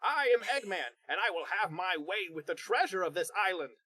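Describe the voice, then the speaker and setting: Man putting on a high, grandiose cartoon villain. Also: very animated, a little fast, sharp tone, no room sound, heavily acted. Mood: defiant. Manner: grandiose, declaiming. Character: Eggman, boastful male villain doctor. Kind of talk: mock-dramatic fan fiction reading.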